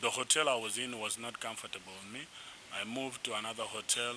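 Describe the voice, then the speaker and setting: A young man from South Sudan, a musician being interviewed, telling his story in a rambling, animated way with restarts. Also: medium pitch, fast talker. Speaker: musician guest. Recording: noisy background.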